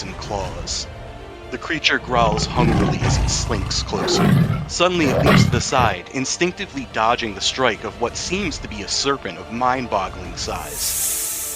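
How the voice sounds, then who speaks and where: A man's voice: lowish voice, bright, forceful tone. A man acting as game master of a tabletop game, shifting between dramatic narration and casual hosting. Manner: dramatic storytelling delivery.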